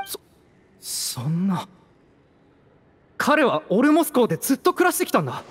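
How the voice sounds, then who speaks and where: A stubborn, loyal young mercenary speaks roughly and passionately in anime game style. The voice sits fairly high and swings widely, with long reverb around it. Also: female voice, wildly swooping pitch, moderate pace, slight background noise. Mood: shaken, stubborn. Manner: impassioned, stubborn, rough informal speech. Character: hot-headed, loyal young male mercenary. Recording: roomy reverb, faint music behind.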